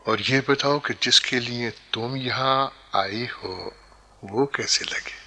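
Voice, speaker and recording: An actor playing an earnest secret patriot who poses as a court fool, rough-voiced and conspiratorial, often sinking into a breathy hush in theatrical radio-play style. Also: male voice, mid-range voice, slow. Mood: wary, secretive. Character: secret patriot posing as half-mad court servant.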